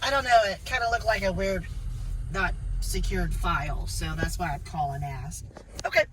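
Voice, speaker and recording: A woman's voice: fairly low pitch, moderate pace. A woman talking casually and politely, with some hesitation. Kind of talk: casual chat and polite phone calls. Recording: distant mic, loud traffic noise. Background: loud car and street noise.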